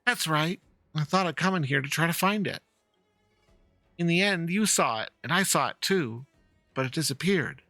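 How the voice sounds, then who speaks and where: A man voicing an earnest, worried young fox-girl in halting, polite speech, reading out video game dialogue. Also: fairly high pitch, lively intonation, pushed and bright, no echo, soft background music. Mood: eager, disappointed.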